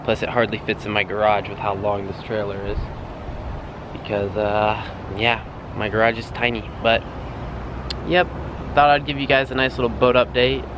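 A young man giving a relaxed, rambling vlog update, filming himself with a handheld camera at arm's length outdoors and in a garage, with plenty of fillers. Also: on the low side, moderate pace, muffled recording, background noise. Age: young adult. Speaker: vlogger. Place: outdoors at a storage lot, in a truck, and in a home garage.

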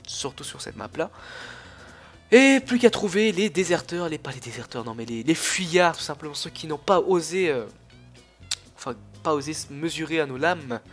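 A man's voice: a little high, expressive, moderate pace, soft background music. A young man with a cold who coughs now and then, chatting casually and rambling through his commentary on a strategy game, full of fillers and restarts. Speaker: gaming YouTuber.

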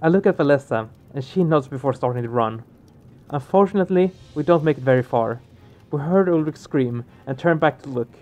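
A man's voice: fairly high pitch, brisk, a little mellow, soft background music. A man hosting a game let's play, expressively reading the story aloud and reacting to it.

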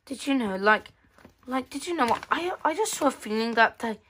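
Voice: mid-range voice, lively intonation, normal speed, fairly bright tone, a little hiss or hum. A female speaker giving casual commentary on a magazine page by page, reading bits aloud, with hesitant, rephrased sentences. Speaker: host of a magazine flip-through video. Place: indoors at a tabletop.

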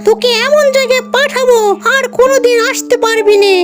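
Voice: very high voice, steady tone, brisk. A performer voicing a vengeful, protective female ghost who scolds, in a cartoon fairy tale with music behind. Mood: menacing, scolding.

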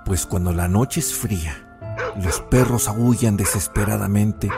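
A man acts as an old grandfather telling a spooky legend in a radio play. His voice is low and full of suspense, dramatically narrating over music.

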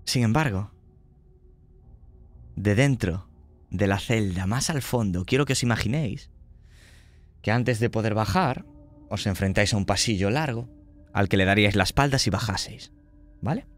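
A man's voice: on the low side, moderate pace, long pauses, no room sound, soft background music. A man narrating a tabletop horror game as game master, speaking conversationally and checking in with his players.

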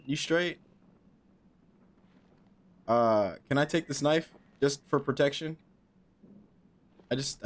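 A young man in his twenties streaming a horror game on a headset mic, joking nervously and talking to himself. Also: medium pitch. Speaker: gaming streamer. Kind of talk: casual horror game commentary.

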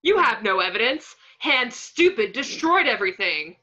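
A woman's voice: a little high, big pitch swings, normal speed, bright, forceful tone, exaggerated voice. A woman voicing a ranting, egomaniacal male fashion mogul villain in a theatrical manner over a video call. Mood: scornful. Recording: muffled lo-fi video call audio.

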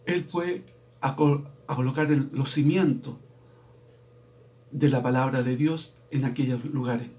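A man in his fifties, a preacher's assistant, giving a slow sermon with a Bible reading, often clearing his throat, recorded on a webcam in a small room at home. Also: medium pitch, fairly even intonation, a little mellow. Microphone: webcam at desk, video call framing.

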